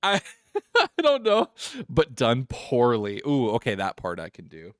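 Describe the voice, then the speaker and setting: A man's voice: medium pitch, huge pitch swings, smooth, dry recording, clean studio sound. A man giving casual, joking, sweary commentary while playing a visual novel on a live stream. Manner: joking, irreverent, sweary, quick to giggle.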